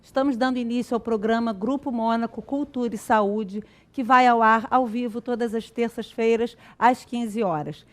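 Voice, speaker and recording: A woman's voice: a little high, steady tone, normal speed, hardly any pauses. A woman with a Brazilian accent hosting a live talk show and interviewing a guest. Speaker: TV show host.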